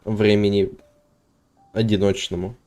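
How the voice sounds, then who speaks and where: A man's voice: on the low side, flat delivery, unhurried, a little mellow, faint music behind. A man giving casual let's-play commentary as a game streamer. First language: Russian.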